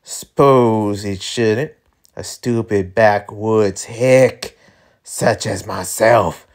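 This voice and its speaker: A performer voicing a menacing, mocking cowboy with a folksy drawl. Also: mid-range voice. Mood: wry. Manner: slow, folksy, taunting drawl.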